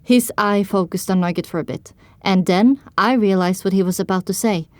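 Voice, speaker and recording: A woman delivers scripted first-person narration for a story. Her pitch sits in the middle range. The sound is dry, with no room to it. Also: normal speed, no room sound.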